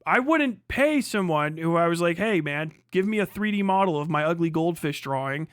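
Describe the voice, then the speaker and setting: High-pitched man on a profane, combative rant. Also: expressive, moderate pace, clear, resonant tone, no echo, studio quality. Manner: emphatic repetition of phrases. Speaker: livestreamer reacting to a video. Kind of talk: heated off-the-cuff commentary.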